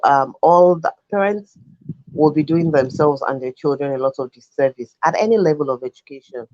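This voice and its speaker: A man's voice: a little high, darkish tone, dry recording, highs cut off. A man, a Yoruba speaker, making earnest, emphatic points as an analyst on an education show. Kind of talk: studio discussion commentary.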